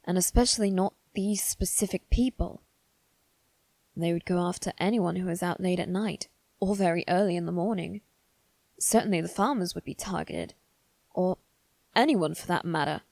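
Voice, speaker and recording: A woman voicing a haughty, anxious young noble boy in a halting, formal monologue for dramatic ASMR roleplay. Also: fairly low pitch, creaky, darkish tone, no room sound. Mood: uneasy pondering. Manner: halting, formal, self-reassuring delivery.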